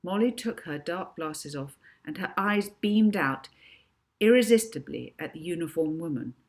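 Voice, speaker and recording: Low-pitched, expressive woman storyteller. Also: a little slow. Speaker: author reading own book. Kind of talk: expressive children's audiobook narration.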